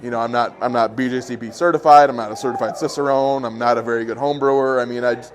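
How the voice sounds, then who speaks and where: A man, a journalist, speaking in a relaxed, self-deprecating way in a documentary interview. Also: medium pitch, fast talker, a little hiss or hum.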